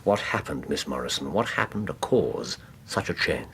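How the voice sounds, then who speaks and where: An actor playing a brisk, imperious Victorian detective with an English accent, articulate and formal in a British radio drama. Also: male voice, a bass voice, big pitch swings, creaky, a bit bright, a little hiss or hum, full character voice. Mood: grave, pressing. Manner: articulate, probing, formal phrasing.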